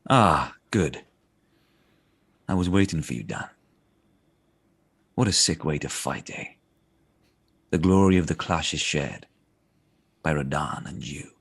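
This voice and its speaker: A man's voice: very deep voice, animated, slow pace, gravelly, long pauses, fairly bright tone, slight background noise, heavily acted. A man playing a hearty, battle-loving warrior from a video game, grand and relishing combat.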